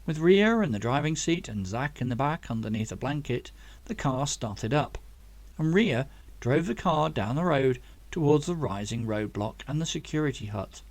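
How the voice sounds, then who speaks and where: A man's voice: medium pitch, lively intonation, moderate pace, no room sound. A man reading a story aloud as scripted audiobook narration, as the author of the book.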